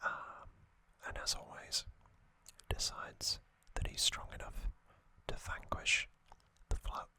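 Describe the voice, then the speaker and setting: A man whispering in ASMR style as he recounts trivia, hesitant and full of ums and uhs. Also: slow. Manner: hesitant, self-correcting delivery. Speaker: ASMR creator.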